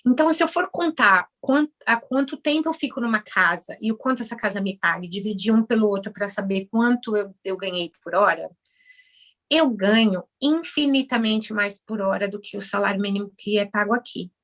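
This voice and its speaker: A Brazilian woman in her forties, an orthodontist turned house cleaner, chatting in an interview and acting out conversations with animated voices. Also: medium pitch, a little fast. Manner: animated, acts out dialogue with others in shifted voices. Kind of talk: casual interview storytelling.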